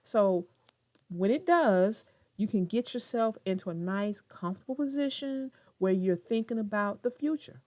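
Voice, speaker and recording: A woman's voice: medium pitch, lively intonation, normal speed, dry recording. A woman narrating and hosting a children's read-aloud, telling and discussing the story expressively. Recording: dull lo-fi sound, soft guitar music.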